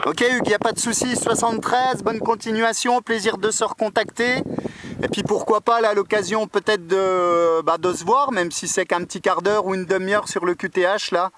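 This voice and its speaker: A man's voice: high-pitched, fairly even intonation, moderate pace, a bit bright, a little hiss or hum. A man working as an amateur radio operator, chatting over a radio contact and often tagging his phrases with small filler checks. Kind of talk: radio contact chat, spelling out call signs.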